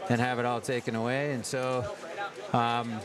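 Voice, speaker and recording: An American man hosting a livestream from a sports event in a casual, halting way, pausing often mid-sentence. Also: medium pitch, level tone, crowd noise. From United States. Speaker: livestream host at an ice hockey tournament. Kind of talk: casual live chat. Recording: clear urban ambient noise, live on location.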